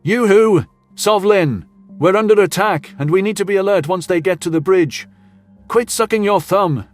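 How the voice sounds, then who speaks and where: A man's voice: high voice, moderate pace, faint music behind, voice pushed up, close to an everyday voice. A man voicing a brash, indignant male commanding officer with blunt, slangy, sarcastic speech in a sci-fi audio story. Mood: urgent, barking.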